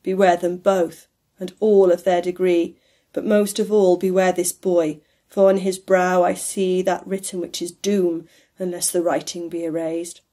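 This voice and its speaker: A woman's voice: on the low side, little pitch movement, measured pace, dark tone. A woman voicing a grave, sorrowful aging male spirit in a storybook, with solemn, emphatic delivery. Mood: stern warning.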